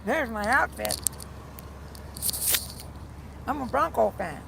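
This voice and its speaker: Rough, gravelly live streamer. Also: mid-range voice, lively intonation, slow, very noisy. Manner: rambling, repeats words, talks to viewers by name. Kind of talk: casual live-stream chat reading comments.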